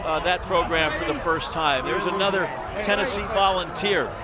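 A man calls the finish of a race live in a high, lifted voice with quick running play-by-play. A loud crowd roars all around him in the broadcast clip. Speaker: race commentator. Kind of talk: live sports commentary.

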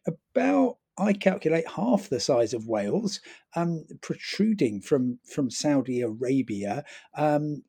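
Wry London travel reporter, mellow tone. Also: male voice, on the high side, slightly rough. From London, England. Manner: wry, self-deprecating, hesitant with ums.

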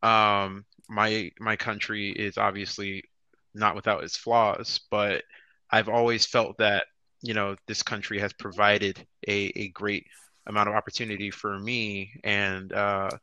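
An American man joins a casual podcast chat as a guest, speaking thoughtfully and halting now and then. His voice is bright, smooth and low. The mic sounds a little muffled and lo-fi. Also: flat delivery, no room sound. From United States. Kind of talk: casual group discussion.

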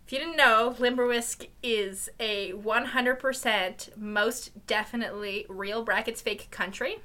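Bright giggly young woman. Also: on the high side, unhurried. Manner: giggly, tells stories with acted quotes. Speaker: podcast co-host. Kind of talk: casual chat.

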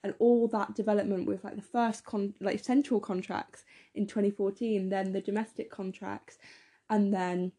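A teenage girl, a bit hoarse, chatting enthusiastically as podcast co-host with lots of fillers. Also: medium pitch, steady tone, moderate pace, mellow. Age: teens. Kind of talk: casual podcast chat.

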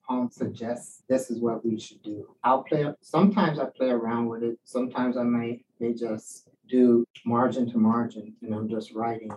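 A man speaking casually and reflectively as a poet and teacher answering questions, acting out bits of remembered speech as he tells stories. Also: medium pitch. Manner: animated storyteller, acts out quoted remarks. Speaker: poet and writing teacher giving a talk. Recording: distant mic with faint background noise.

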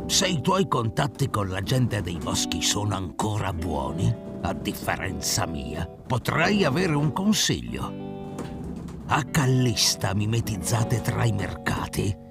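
A man playing a proud, commanding veteran ship captain in a fantasy audio drama, with a gravelly voice and a wry, deliberate manner. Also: medium pitch, full character voice. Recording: loud music behind.

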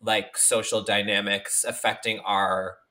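A man's voice: fairly low pitch, level tone, measured pace, bright, forceful tone. A man co-hosting a podcast, talking in a casual, playful way with many fillers. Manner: chatty, filler-heavy, playful. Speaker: podcast co-host. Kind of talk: casual chat.

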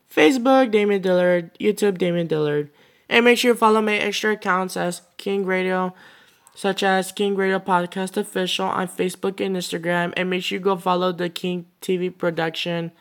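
A sixteen-year-old boy hosting his own podcast, talking alone in a loose, rambling way full of "like, you know.". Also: high-pitched. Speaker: podcast host. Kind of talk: casual solo podcast monologue.

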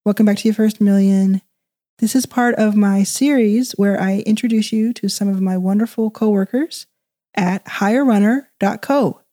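Mellow chatty woman host. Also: mid-range voice, normal speed. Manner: chatty, laughing, loose phrasing. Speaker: podcast host. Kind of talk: casual interview hosting.

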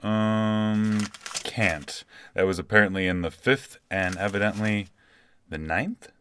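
A man's voice: fairly low pitch, slow pace, a bit bright, a little hiss or hum. A man playing a callous, smug, nonchalant company boss in a comic audio drama. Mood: matter-of-fact, obtuse. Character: callous, self-satisfied male video game company CEO.

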